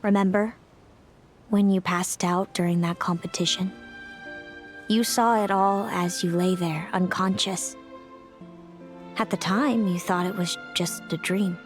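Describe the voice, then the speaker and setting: A woman voicing a composed, sorrowful young woman in a video game, speaking in a solemn, gentle and reflective way. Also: on the low side, steady tone, a little slow, long pauses, faint music behind, natural voice. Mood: solemn, resigned. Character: Selena, composed, sorrowful long-lived sister, a young woman.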